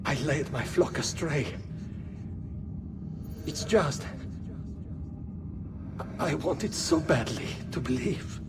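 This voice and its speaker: Remorseful dying male, pleading, slow halting delivery, high pitch. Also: animated, background noise. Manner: halting, plaintive. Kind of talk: dramatic video game death scene. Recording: music behind the voice.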